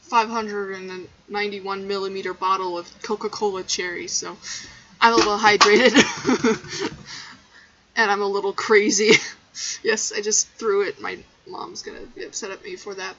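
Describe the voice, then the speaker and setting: Young female game streamer: goofy casual chatter, bright and sharp, slightly lo-fi bedroom mic. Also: mid-range voice, fairly even intonation, moderate pace. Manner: playful, goofy, breaks into song. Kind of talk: casual livestream chat.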